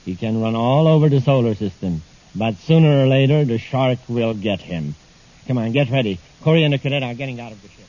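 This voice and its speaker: A man's voice: mid-range voice, animated, a little fast, warm tone, close to an everyday voice. A man playing a confident, coldly menacing space villain in a 1950s radio serial, plotting in a sly, assured manner. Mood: scheming. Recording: muffled old radio recording, background noise.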